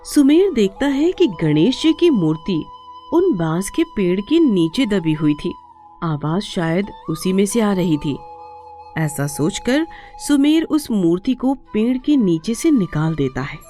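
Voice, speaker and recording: Slow, expressive woman storyteller. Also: medium pitch, soft background music. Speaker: narrator. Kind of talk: scripted children's moral story narration in Hindi.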